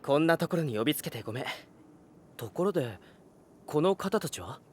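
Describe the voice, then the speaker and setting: Man playing a lively, polite young anime man. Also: a little high, fairly quick, natural voice. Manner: courteous, boyish, flustered. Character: polite, earnest, easily flustered young man. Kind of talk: Japanese anime game voice acting. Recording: background music behind the voice.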